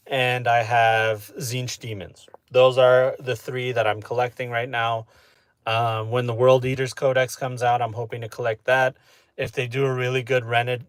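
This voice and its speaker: A man chatting casually with his live-stream audience, relaxed and talkative, often asking "you know what I mean?". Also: medium pitch, no echo, clean studio sound. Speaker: streamer answering chat.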